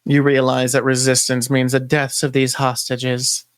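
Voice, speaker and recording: A woman's voice: rumbling low, flat delivery, moderate pace, dry recording, pitched below the performer's own voice. Woman playing a cold merciless villain, close and dry. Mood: cold, menacing.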